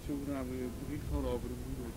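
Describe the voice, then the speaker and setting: A man playing a matter-of-fact policeman giving a measured, naturalistic report in a radio drama. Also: mid-range voice, level tone, natural voice, low-quality recording.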